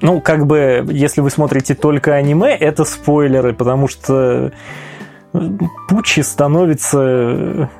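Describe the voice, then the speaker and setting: Mellow-voiced man riffing on a podcast. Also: a little high, steady tone, measured pace, vocal fry, faint music behind. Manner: swearing, wry, full of fillers. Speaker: podcast co-host. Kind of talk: casual chat about anime.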